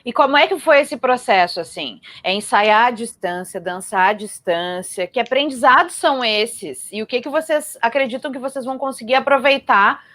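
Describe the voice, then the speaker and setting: A woman's voice: a little high, normal speed, few pauses, a bit bright, dry recording. A Brazilian woman hosting and interviewing in a conversational style on a live arts programme.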